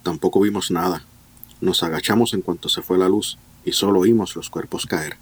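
A man's voice: sits low, steady tone, normal speed, slightly rough. A man playing a protective, remorseful husband and former soldier in an audio drama. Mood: tense.

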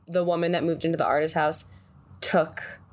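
Woman co-host, lowish voice, casual chat, lots of agreeing murmurs. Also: flat delivery, moderate pace. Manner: frequent agreeing murmurs, thinking aloud. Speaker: podcast co-host.

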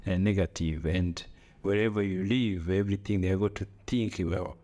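A middle-aged man from Africa talking in casual conversation, earnest and halting, with grammar and repeated phrases. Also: sits low, steady tone, mellow. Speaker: podcast co-host, father.

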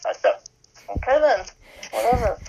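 A man's voice: very high-pitched, expressive, moderate pace, creaky, noisy background. A young man in a casual chat among friends who sounds distracted and hesitant. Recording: dull lo-fi sound.

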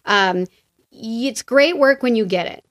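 An American woman speaks as a guest in a relaxed interview. She talks fast and clearly. She tells stories with energy and acts out what other people said. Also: a little high. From United States. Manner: lively storyteller who acts out other people's lines. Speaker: guest, associate principal and former actor. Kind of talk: casual conversational interview.

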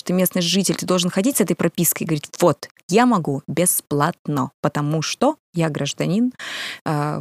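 Woman guest from Kamchatka, quick chatty storyteller, low-set clear voice, dry close mic. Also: darkish tone, no room sound. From Kamchatka, Russia. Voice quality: clear, ringing voice. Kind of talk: casual interview chat.

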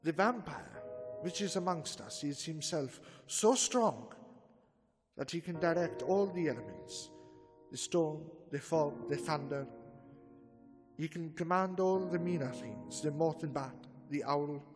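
An actor playing a grave, elderly male vampire-hunting professor, speaking with solemn, slow, commanding deliberation in 1930s radio-drama style. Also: a little high, lively intonation, big-room sound, over music. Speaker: Van Helsing.

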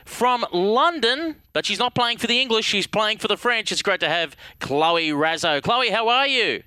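A man's voice: high voice, expressive, normal speed, bright, forceful tone, smooth, no room sound, clean studio sound. A man hosting a sports radio show, interviewing guests in a wordy announcer style.

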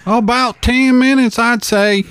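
A woman's voice: a little high, steady tone, unhurried, clear, resonant tone, lightly acted. A woman playing a blunt, sardonic member of a monster-fighting group in a comic horror roleplay. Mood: calm. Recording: loud noise with clicks.

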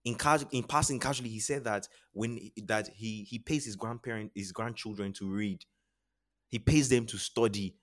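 A Nigerian man speaks as an interview guest with a lowish voice. His delivery is earnest and motivational. He often restates a phrase to press the point. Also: steady tone, normal speed. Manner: earnest, repeats phrases for emphasis. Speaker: podcast guest, charity founder.

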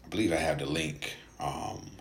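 A man who stutters, speaking casually in a halting personal monologue with frequent gaps and hesitations, as a podcast host. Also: extremely low pitch, fairly even intonation, slight background noise.

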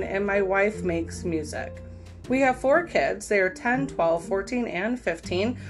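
A woman hosting a podcast, reading online posts aloud cold and then giving her frank take on them. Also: on the high side. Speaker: podcast host.

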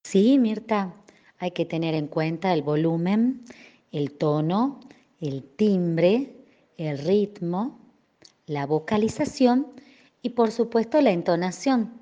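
A woman with an Argentine accent teaching in a didactic, expressive way, explaining and addressing her listeners, on slightly dull lo-fi audio. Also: fairly low pitch, slow. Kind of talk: educational explanation with spoken examples.

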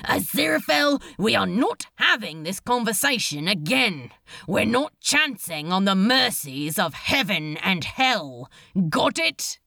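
A woman voicing an irritable, cynical male demon in an audiobook story, blunt and exasperated with a tense, creaky voice. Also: a little high, very animated, takes it slowly, sharp tone, no room sound, studio quality, full character voice.

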